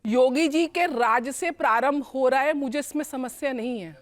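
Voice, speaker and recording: A woman's voice: high-pitched, normal speed. A woman preacher on a debate panel, with a sore throat, pleading to be heard. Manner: pleading, trying to get a word in.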